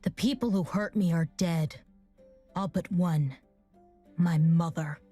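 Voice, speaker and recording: A woman's voice: lowish voice, slow pace, dark tone, faint music behind. A woman voicing a bitter, defiant teenage girl warrior in a video game, blunt and sardonic.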